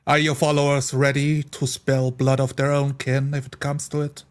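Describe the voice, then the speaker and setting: A man's voice: mid-range voice, monotone, moderate pace, smooth, natural voice. A man playing a solemn, frugal dwarf who is doubtful and speaks in formal, terse phrases. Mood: wary.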